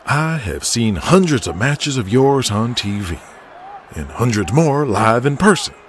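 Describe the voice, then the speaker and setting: A man's voice: medium pitch, sing-song, measured pace, slight background noise, pitched below the performer's own voice. A man voicing a cocky, taunting pretty-boy wrestler in an audio drama, with florid, wordy, mocking delivery. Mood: flattering.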